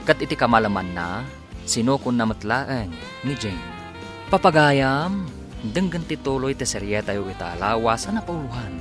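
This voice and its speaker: A man's voice: mid-range voice, very animated, normal speed. Dramatic male drama announcer over guitar music. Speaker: programme announcer introducing a drama series. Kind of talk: dramatic scripted radio drama introduction. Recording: music bed under the voice.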